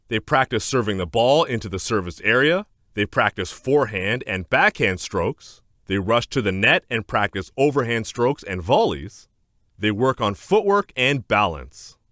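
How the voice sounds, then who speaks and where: A man narrating a children's educational book aloud in a scripted, clear and deliberate instructional manner. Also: lowish voice, big pitch swings, a bit bright, dry recording, studio quality.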